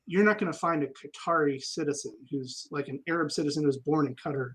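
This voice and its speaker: Army vet guy chatting in an interview, kinda high voice, lots of ums, thinks out loud. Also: a little fast, husky. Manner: candid, reflective storytelling with frequent ums. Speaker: army veteran guest. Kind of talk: casual interview conversation.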